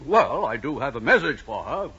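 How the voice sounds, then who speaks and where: An actor playing a brisk, fussy, bossy male doctor in an old-time radio drama. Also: medium pitch, sing-song, pushed and bright, breathy edge, exaggerated voice. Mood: businesslike. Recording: dull, lo-fi old radio recording.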